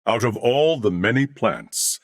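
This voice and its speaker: Thoughtful machine-merged humanoid, low voice, expressive intonation, formal and courteous, earnest, video game character. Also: male voice, no room sound, clean studio sound. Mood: grave, composed. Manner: formal, measured, earnest diction.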